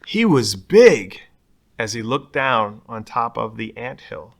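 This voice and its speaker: A man's voice: mid-range voice, sing-song, takes it slowly, vocal fry. A man reading a children's picture book aloud expressively, putting dramatic emphasis on key words. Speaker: storybook reader.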